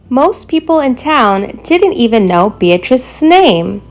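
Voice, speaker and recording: A woman reading a children's bedtime story aloud in an expressive, deliberate storytelling manner. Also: a little high, soft background music. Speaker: storybook reader.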